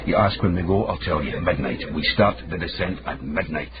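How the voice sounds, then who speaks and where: Man as canny Scottish investigator, deep gruff voice, wry, measured, vintage radio drama, dull lo-fi sound. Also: close to an everyday voice. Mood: commanding, secretive. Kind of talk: radio detective drama acting. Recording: muffled lo-fi radio drama with noisy ambience.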